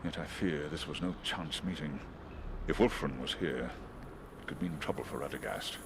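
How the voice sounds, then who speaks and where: A man voicing a wise, noble male eagle in a video game cutscene, speaking in a solemn, measured way. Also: extremely low pitch, gravelly, noisy background.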